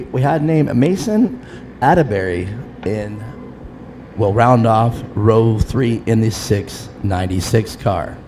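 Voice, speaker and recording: A man's voice: mid-range voice, noisy background. A man in his fifties announcing an off-road race live from the grandstand, chatty and self-deprecating with frequent laughs. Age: 50s. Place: grandstand at an outdoor race track.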